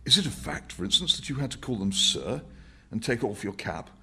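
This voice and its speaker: A man's voice: mid-range voice, very animated, fairly quick, pushed and bright, slightly rough, pitched above the performer's own voice, heavily acted. A man voicing an earnest, patient questioner who presses his points articulately in a novel reading. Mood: earnest, probing.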